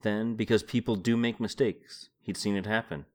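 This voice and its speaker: Lower-voiced man hosting a solo book podcast. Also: steady tone, normal speed, darkish tone. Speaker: podcast host. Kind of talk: book read-aloud and casual solo commentary.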